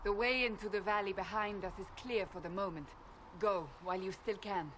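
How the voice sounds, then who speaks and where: A woman's voice: mid-range voice, a little fast, fairly bright tone, a little hiss or hum, close to an everyday voice. An actress playing a stern, resolute woman warrior who leads soldiers with a commanding, serious manner in a video game. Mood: brisk. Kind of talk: dramatic video game acting.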